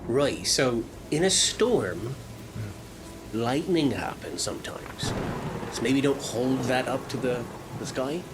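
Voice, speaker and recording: A man joking casually as a player at a tabletop game, halting and pausing as he talks. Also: medium pitch, expressive, very noisy.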